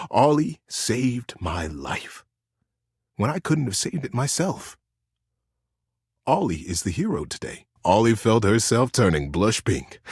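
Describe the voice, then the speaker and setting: A man voicing a gentle, earnest male teddy bear in a video game, telling bedtime stories in a soothing, expressive way with dramatic pauses. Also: medium pitch, husky, dry recording, studio quality, exaggerated voice. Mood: tender narrating.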